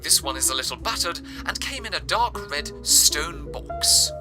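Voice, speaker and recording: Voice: mid-range voice, expressive, a little slow, over music, close to an everyday voice. A performer playing a theatrical, sentimental city radio host in an amateur audio drama, with florid phrasing. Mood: theatrical, genteel. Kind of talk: amateur radio drama, scripted broadcast narration.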